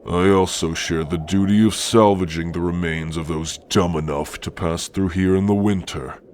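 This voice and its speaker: A man voicing a grim, domineering orc warrior of the frozen mountains in a deliberate, menacing ASMR roleplay monologue. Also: sits low, fairly even intonation, a little mellow, a little hiss or hum, lightly acted. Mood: stern, menacing. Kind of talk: slow, scripted ASMR roleplay monologue addressed to listener.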